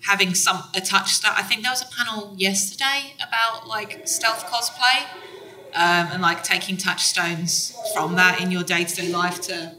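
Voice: mid-range voice, fairly even intonation, normal speed, a crowd in the background, rough recording. A podcast co-host talking enthusiastically at a live panel, chatty and often trailing off mid-thought. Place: convention hall stage.

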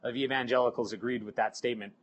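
A middle-aged man with a cold, sounding hoarse, giving a halting sermon full of ums and uhs. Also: mid-range voice, fairly even intonation. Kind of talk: sermon with scripture reading.

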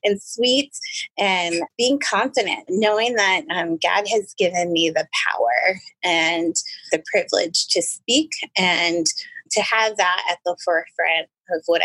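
A woman pastor answering interview questions in an earnest way, with a high-pitched voice. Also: fairly bright tone, husky, dry recording, poor audio.

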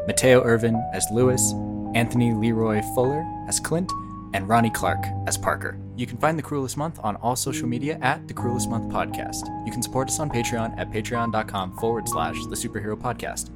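A man's voice: medium pitch, moderate pace. A man reading a scripted podcast outro as the credits announcer. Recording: loud music under the voice.

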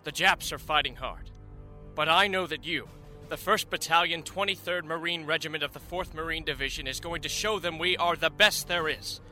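A man's voice: a little high, moderate pace, faint music behind, low-quality recording. An actor playing a resolute Marine commander, addressing his men in a commanding manner in a radio drama. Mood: rallying. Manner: forceful, commanding.

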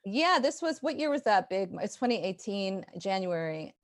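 Lively New woman on a call. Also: mid-range voice, normal speed. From New York, USA. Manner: animated, laughing often, restarts. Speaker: guest artist. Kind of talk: casual interview chat. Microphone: video call.

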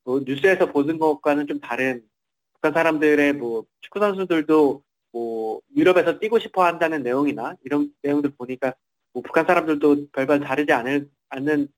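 A man's voice: a little high, a little fast, low-quality recording. A man reporting in a semi-formal interview, somewhat hesitantly, often repeating and rephrasing his words.

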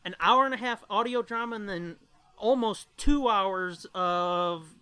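Guy podcasting, bright forceful voice, really dry sound, sweary and irreverent chat. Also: high voice, unhurried, smooth, dry recording. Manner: irreverent, foul-mouthed, dark joking. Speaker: podcast co-host. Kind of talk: casual chat.

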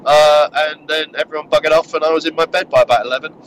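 A man's voice: fairly high pitch, fairly even intonation, fast, a little hiss or hum, low-quality recording. A man with a head cold, sounding nasal, chatting casually and rambling through filler-heavy restarts while he drives. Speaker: podcast co-host recounting a wargaming tournament. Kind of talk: casual chat. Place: in a moving car.

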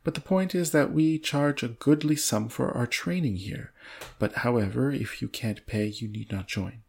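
Man putting on a pompous storybook English earl, gravelly. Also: medium pitch, moderate pace, a little mellow. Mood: lofty, businesslike. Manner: pompous, genteel. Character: lofty, complacent English earl, casually boastful aristocrat. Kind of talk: storybook read aloud.

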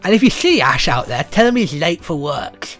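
A man's voice: fairly high pitch, very animated, moderate pace, fairly bright tone, faint music behind. A man voicing a blunt, slangy old crone who runs a shop. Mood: grumbling. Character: gruff old crone shopkeeper, blunt and grumbling.

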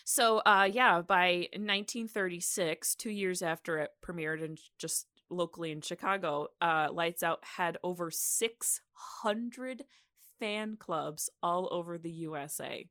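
A woman's voice: on the low side, measured pace, sharp tone, no echo, studio quality. A woman co-hosting a podcast, telling radio history in a casual, giggly, conversational way. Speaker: podcast co-host.

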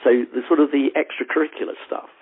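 A man's voice: fairly high pitch, level tone, quick pace, slightly rough. A man in his seventies from Hertfordshire with an English accent, a guest actor who has a cold and tells stories with a self-deprecating chuckle. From England. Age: 70s. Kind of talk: relaxed podcast conversation. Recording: phone-like remote call audio.

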